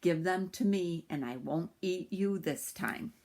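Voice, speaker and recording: A woman voicing a boastful, hungry male tiger in a children's story, with slow, drawn-out threatening lines. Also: on the low side, a little mellow. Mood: menacing.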